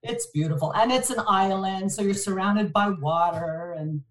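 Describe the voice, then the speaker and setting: A woman from Montreal whose first language is French, talking in an animated way as a podcast guest. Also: mid-range voice, normal speed, far from the mic. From Montreal, Canada. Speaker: podcast guest, distribution manager. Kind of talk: casual interview chat. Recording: echoey, set back from the mic.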